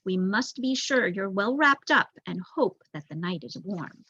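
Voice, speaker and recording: A young woman voicing a worried, kindly mother field mouse in a storybook, gentle and motherly, on a dull recording. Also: medium pitch, expressive, a little slow, slightly rough, no echo, heavily acted. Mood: reassuring, motherly.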